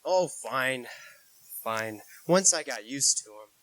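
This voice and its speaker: A man playing a shy, lonely young man in a 1940s-style radio play, speaking in a hesitant, gentle way. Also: mid-range voice, lively intonation, takes it slowly, rough recording.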